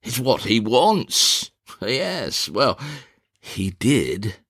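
A man voicing a gruff, blustering older country squire who chuckles to himself, in a one-man reading of a stage play. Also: medium pitch, very animated, drawn-out words, fairly bright tone, dry recording, clean studio sound, heavily acted.

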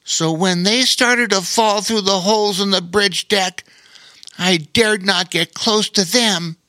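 A man voicing a friendly, frank male spider in a storybook, talking eagerly in a high squeaky voice. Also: slow, bright, forceful tone, dry recording, exaggerated voice. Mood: chatty. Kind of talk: storybook reading.

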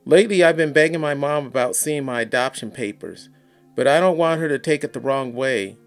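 A man's voice: mid-range voice, a little fast, soft background music, lightly acted. A man voicing an earnest, pleading young woman in an audiobook story. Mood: pleading, frustrated.

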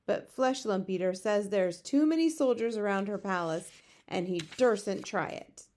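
A woman voicing a gentle, gloomy male giant from a children's storybook, speaking in muddled homespun grammar with invented words. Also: mid-range voice, a little slow, close to an everyday voice. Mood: musing. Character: big friendly giant, male, gentle, gloomy, word-mangling. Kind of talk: children's storybook character reading.

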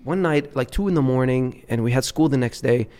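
A man's voice: medium pitch, fairly quick, dark tone. Man telling stories in a rambling way. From Lebanon, raised in Dubai. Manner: rambling, repeats words and restarts while telling stories. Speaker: podcast guest. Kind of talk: casual storytelling chat.

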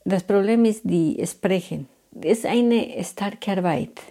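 A woman's voice: mid-range voice, takes it slowly, dark tone. A woman from Bolivia speaking hesitantly in learner German about her work. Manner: hesitant, searching for words. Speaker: interviewee. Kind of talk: personal account in learner German.

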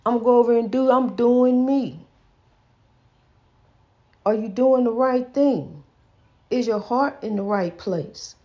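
A woman's voice: on the high side, slow pace, pauses often. A woman with a stutter giving a casual, folksy inspirational talk, repeating herself and calling the listener honey, on a dull lo-fi recording. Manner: says she stutters. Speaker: host. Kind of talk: casual inspirational preaching monologue.

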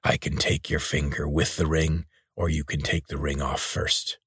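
A man voices a blind, menacing warrior leader from a storybook, his rasping voice sitting very low. He speaks quietly with cold threat, and the recording is dry and close. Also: little pitch movement, moderate pace, no room sound, full character voice.

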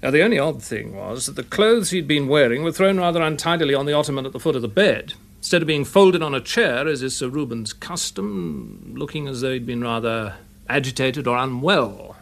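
A man's voice: medium pitch, lively intonation, brisk, natural voice. A man playing an earnest, methodical English police detective in a radio drama, precise and matter-of-fact. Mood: earnest, explaining. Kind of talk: period radio drama acting.

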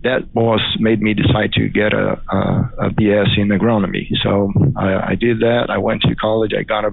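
Gravelly Brazilian-accented man on phone. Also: lowish voice, little pitch movement, normal speed, no echo. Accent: Brazilian Portuguese. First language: Portuguese. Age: middle-aged. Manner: hesitant, many fillers and restarts. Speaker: guest, crop scientist. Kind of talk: interview answers. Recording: dry phone-like audio.

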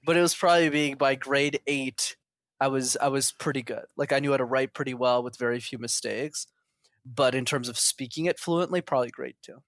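A man's voice: mid-range voice, fairly quick, fairly bright tone, no echo. A man telling his story as an interview guest in a candid, chatty, casual way. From Montreal, Canada.

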